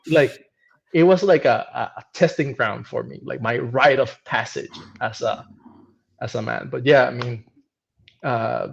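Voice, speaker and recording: A man's voice: medium pitch, a little slow, creaky, slightly rough, dull audio. A man in his thirties from Venezuela, a podcast guest chatting casually and hesitantly, with many restarts. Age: 30s.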